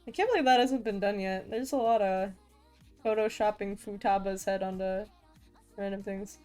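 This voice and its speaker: A woman's voice: mid-range voice, normal speed, mellow, soft background music. A young woman streaming as she draws, chatting casually with viewers and often stuttering and restarting her sentences. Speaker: VTuber art streamer. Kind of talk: casual live-stream chat while drawing.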